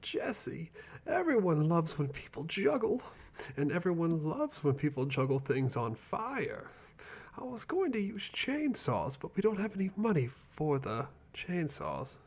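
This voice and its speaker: A man playing a vain, whiny and childishly enthusiastic villain sidekick in an ASMR roleplay, with a breathy, theatrical delivery. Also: a little high, wildly swooping pitch, unhurried, a little mellow, heavily acted. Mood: indignant, defensive. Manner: flamboyant, dramatic, petulant.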